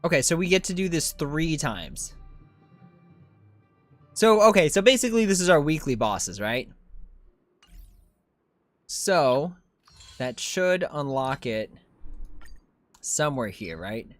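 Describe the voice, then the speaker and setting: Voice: mid-range voice, lively intonation, slow pace, long pauses, dry recording, soft background music. A streamer giving casual gameplay commentary, thinking aloud and often questioning themselves. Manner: self-questioning, musing aloud. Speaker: game streamer.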